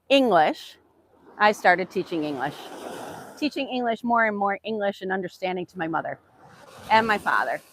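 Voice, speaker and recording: A woman's voice: a little high, a bit bright, slight background noise. A woman from the United States whose first language is Hungarian, giving an informal motivational talk in an earnest way, repeating phrases and posing questions. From United States, Hungarian immigrant family. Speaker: English teacher giving a motivational self-improvement talk.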